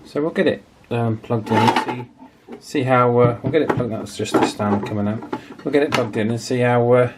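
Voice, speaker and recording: A man's voice: mid-range voice, steady tone, moderate pace, very noisy. A man in his forties narrating a hands-on repair casually, hesitant and thinking aloud as he goes. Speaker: video host, radio restoration hobbyist.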